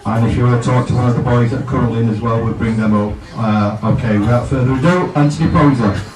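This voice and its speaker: A man's voice: mid-range voice, fairly even intonation, fast. A man hosting a fan evening, thanking the supporters and introducing the guests. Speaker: event host. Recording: echoey room, far from the mic, crowd behind.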